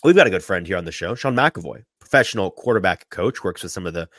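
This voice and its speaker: A man hosting a sports talk podcast, sniffly from a sinus infection, animated and often repeating his first words as he starts a thought. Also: low voice, quick pace, no room sound, clean studio sound. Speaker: podcast host.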